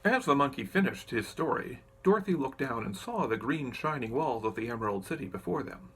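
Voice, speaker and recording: A man reading a storybook aloud as scripted narration, in an expressive storytelling manner. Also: medium pitch, fairly quick, breathy edge. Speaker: audiobook reader.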